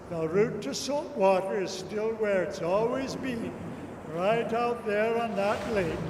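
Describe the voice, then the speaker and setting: A man playing a plain-spoken, opinionated lighthouse keeper in old-time radio drama, emphatic and folksy. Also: sits high. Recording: echoey hall, distant mic, background noise.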